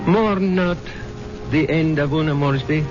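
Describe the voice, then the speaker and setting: An actor playing a solemn mystic doctor who intones a slow eulogy at a funeral. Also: male voice, on the high side, close to an everyday voice. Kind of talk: 1970s radio drama acting. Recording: dull old radio broadcast with music.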